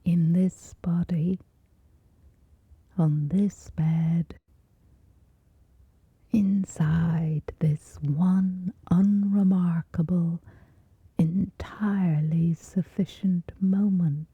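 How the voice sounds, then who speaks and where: A woman with a soft, whispery voice gently guides a scripted sleep meditation, soothing, with long pauses between short phrases. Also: fairly low pitch, fairly even intonation, breathy edge, dry recording, highs cut off.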